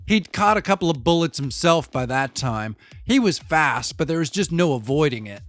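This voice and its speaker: A man's voice: on the high side, a bit bright. A man playing a rattled, defensive young eyewitness in an audio drama, telling his story in a casual, slangy, confiding way. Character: rattled, defensive young male eyewitness, street-talking and wry. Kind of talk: first-person audio fiction monologue, conversational storytelling.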